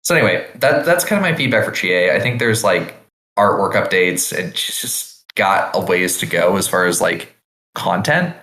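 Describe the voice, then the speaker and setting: A man's voice: low voice, animated, moderate pace, bright, forceful tone, reverberant. A man co-hosting a podcast, giving casual, conversational feedback. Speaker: podcast co-host.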